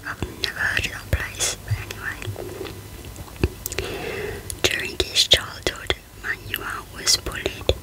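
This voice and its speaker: A woman hosting a true crime channel, reading out a case in a hushed ASMR whisper. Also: slow, long pauses, poor audio.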